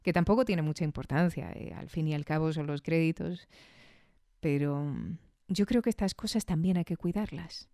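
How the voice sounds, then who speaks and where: A woman's voice: low-pitched, normal speed, mellow, no room sound, studio quality. A woman with an accent from Spain hosting a podcast, giving a casual, reflective spoken review with conversational tag questions.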